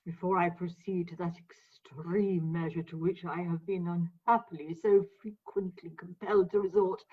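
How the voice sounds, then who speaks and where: A woman's voice: fairly low pitch, husky. An actress playing a jealous, grandly wronged tragic woman in a comic radio play, declaiming theatrically over a video-call recording. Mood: haughty reproach. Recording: tinny, band-limited video-call recording.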